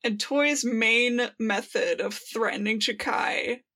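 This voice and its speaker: A woman's voice: fairly high pitch, takes it slowly, pushed and bright. A woman co-hosting a casual fan podcast, joking in a playful, mock-grandiose way. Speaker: podcast co-host.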